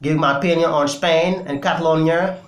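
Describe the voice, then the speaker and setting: Senegalese guy ranting about politics, wolof first language, kinda high voice, echoey room. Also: steady tone, a little fast. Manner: emphatic, repeats points, many fillers. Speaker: political commentator. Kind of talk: opinion commentary to camera.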